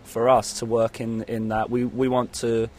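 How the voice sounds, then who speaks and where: A man's voice: medium pitch, completely flat delivery, moderate pace, a little mellow, a little hiss or hum. A man giving a casual interview as a musician, rambling and hesitant, often saying "you know". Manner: rambling, hesitant, peppered with 'you know'.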